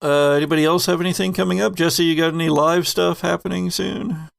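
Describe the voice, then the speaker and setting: A man hosts a casual round-table podcast. His voice sits a little high for a man and carries plenty of gravelly creak. The sound is dry, with little room around it. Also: fairly even intonation, fairly quick, dry recording. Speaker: podcast host.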